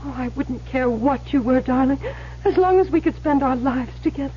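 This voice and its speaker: Casting: headstrong lovelorn daughter female performer, very high voice, melodramatic, on a dull vintage radio recording. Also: moderate pace, slight background noise, lightly acted. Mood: pleading devotion. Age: young adult. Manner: theatrical, emotional pleading. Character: lovestruck, stubborn young daughter, melodramatic and pleading. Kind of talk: old-time radio drama acting.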